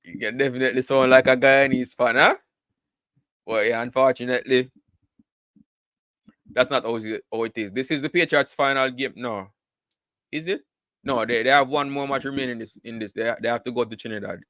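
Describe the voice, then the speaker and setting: A man's voice: mid-range voice, steady tone, fairly quick, long pauses, a bit bright, dry recording. A man giving casual live cricket commentary, full of repeated words and "you know" fillers.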